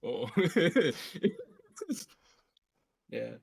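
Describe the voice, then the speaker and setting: A man's voice: on the high side, very animated, drawn-out words, husky, no room sound, clean studio sound. A man playing a tabletop game, chatting casually and jokingly with the group.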